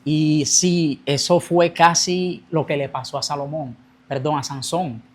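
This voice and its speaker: A man's voice: on the high side, steady tone, measured pace. A young man telling his personal faith testimony as a guest, earnest, speeding up as he recounts his past. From Colombia.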